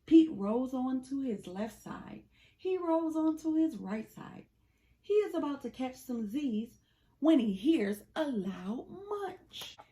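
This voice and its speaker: A woman reading a children's picture book aloud in an animated, deliberate storytelling manner. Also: high voice. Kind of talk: expressive scripted read-aloud of a picture book.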